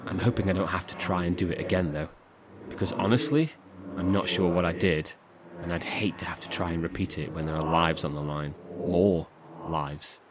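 A man's voice: low-pitched, moderate pace, lightly acted. A man playing someone trapped inside a rift who talks anxiously and earnestly about his brother. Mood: worried. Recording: noisy recording.

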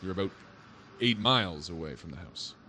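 A man acting a doubting police officer in an audio drama, wry and placating. His voice sits fairly low, with casual, questioning delivery over faint background noise. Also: expressive, normal speed, pushed and bright. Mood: incredulous, puzzled.

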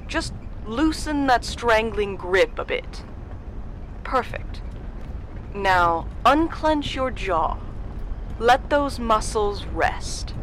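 Slow, coaxing, all-seeing female guide acted. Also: medium pitch, slight background noise, rough recording. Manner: calm, coaxing, reassuring. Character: uncanny all-seeing soothing guide addressing a driver. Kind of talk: slow guided-relaxation monologue, audio drama.

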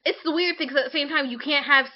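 A woman's voice: high voice, fast talker, highs cut off. A young woman co-hosting a podcast in a casual, conversational style, candid and opinionated, often slipping into imagined quotes. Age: young adult. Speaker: podcast co-host.

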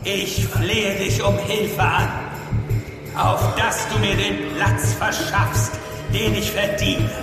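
High-voiced fanatic invoking dark gods. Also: male voice, level tone, slow. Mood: fervent, imploring. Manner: solemn, declamatory, ritual invocation. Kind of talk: audio drama acting. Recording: cavernous echoing reverb, loud music.

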